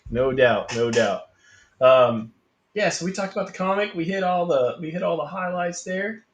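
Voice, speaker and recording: A man's voice: a little high, normal speed, a little hiss or hum. A man hosting a casual interview, agreeing often with short affirmations. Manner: affirming, lots of "yeah" and "no doubt". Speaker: podcast host.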